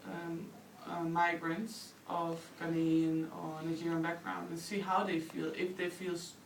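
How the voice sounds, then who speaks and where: A woman's voice: fairly low pitch, level tone, background noise. Woman, Dutch accent, 30s, chatty, roomy echo, distant mic. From Netherlands. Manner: chatty, quick, uses "like" often. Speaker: guest, anthropologist. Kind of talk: radio interview.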